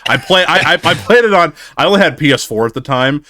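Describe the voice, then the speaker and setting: Animated bright-voiced man in his late twenties. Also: fairly high pitch, quick pace, a little hiss or hum. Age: late 20s. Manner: animated, ranting, lots of fillers and restarts. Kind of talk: casual gaming chat.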